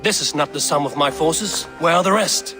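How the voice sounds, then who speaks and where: An actor playing a bold, determined young Viking leader in a video game, resolute and formal in speech. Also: male voice, fairly high pitch, moderate pace, over music, close to an everyday voice. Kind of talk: dramatic video game acting.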